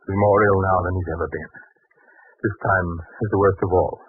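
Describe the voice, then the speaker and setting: An actor playing a doubting, determined father of a sick son, with solemn, halting speech in 1940s radio drama style. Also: sits low, steady tone, breathy edge. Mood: grave, weary. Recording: noisy, narrow-band 1940s radio recording.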